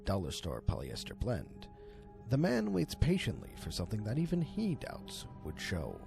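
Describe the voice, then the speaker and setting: A man with a low, creaky voice narrates a horror tale. His delivery is theatrical and swoops widely in pitch, breaking for dramatic pauses. Music plays clearly behind him. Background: music under the voice.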